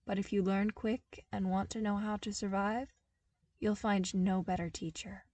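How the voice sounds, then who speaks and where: A woman voicing an earnest, wry young man from a harsh desert world in a story reading, explaining with animated emphasis. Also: medium pitch, normal speed, dry recording, close to an everyday voice.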